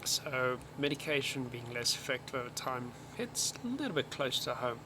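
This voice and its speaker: A man's voice: mid-range voice, moderate pace. A man giving casual, chatty commentary as he streams a video game. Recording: steady background noise.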